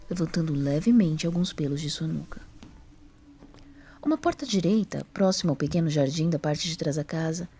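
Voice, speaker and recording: A woman with a Brazilian accent narrating a scripted story in a breathy voice. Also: on the low side, dark tone.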